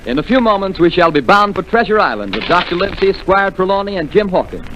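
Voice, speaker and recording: A man's voice: sits high, big pitch swings, quick pace, highs cut off, loud music behind the voice. A man announcing on a 1930s network radio broadcast in a formal manner.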